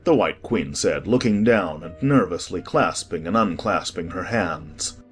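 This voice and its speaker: A woman's voice: a bass voice, lively intonation, a little slow, soft background music. A woman narrator reading a scripted children's storybook as part of a dramatized audiobook.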